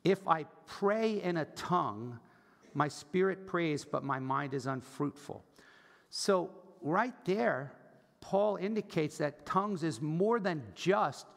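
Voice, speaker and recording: A man's voice: on the high side, gravelly. An older American man preaching a teaching sermon in a conversational, explanatory manner, posing rhetorical questions. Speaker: pastor preaching. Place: large church auditorium stage, indoors. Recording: reverberant hall sound.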